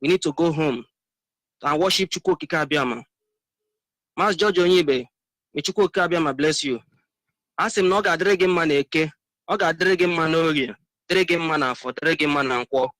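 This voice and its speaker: A man's voice: a little high, fairly quick, long pauses, fairly bright tone, dry recording. A man with a Nigerian Igbo accent, exhorting listeners in repeated phrases as a caller on a political radio phone-in. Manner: exhorting, repetitive. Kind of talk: political appeal with blessings.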